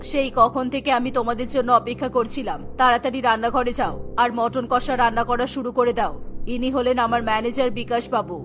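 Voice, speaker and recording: A woman's voice: a little high, steady tone, a little fast, soft background music, voice pushed down, natural voice. A woman voicing a wealthy, bossy middle-aged mother of the bride in a cartoon, speaking in a commanding, businesslike manner. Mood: bossy, impatient.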